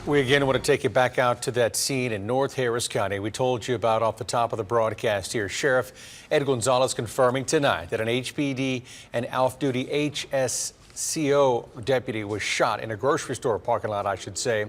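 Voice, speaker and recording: A man's voice: medium pitch, moderate pace. Male news anchor, American, mid-range voice, live broadcast delivery.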